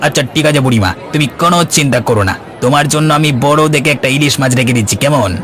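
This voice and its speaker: Very fast-talking fish seller man acting. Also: mid-range voice, fairly bright tone, some chatter behind, natural voice. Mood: reassuring. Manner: reassuring. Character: obliging male market fish seller. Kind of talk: Bengali cartoon voice acting.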